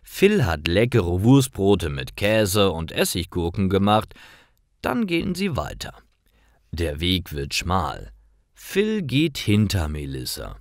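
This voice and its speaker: A man narrating simple scripted stories for language learners in a careful, expressive way. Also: low voice, no echo, clean studio sound. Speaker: storyteller for German learners.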